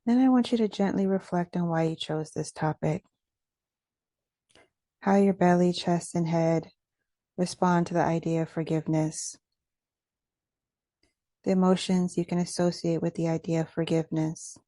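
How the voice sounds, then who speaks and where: A woman leading a guided meditation in a gentle, measured, instructional way. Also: lowish voice, fairly even intonation, dry recording.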